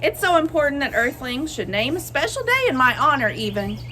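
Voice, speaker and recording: A woman voicing a boastful, playful Sun from a picture book, theatrical and bragging with drawn-out emphasis. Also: high-pitched, sing-song, noisy background, voice pushed up. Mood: playful, proud. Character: boastful, playful Sun, a cheerful showboating star. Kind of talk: expressive picture-book read-aloud.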